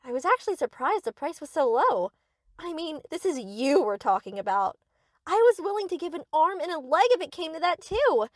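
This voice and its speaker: A woman's voice: sits high, very animated, brisk, no room sound, studio quality. A woman playing a sweet, eager, obsessive young yandere best friend in an anime-style audio roleplay, gushing and theatrical. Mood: proudly doting.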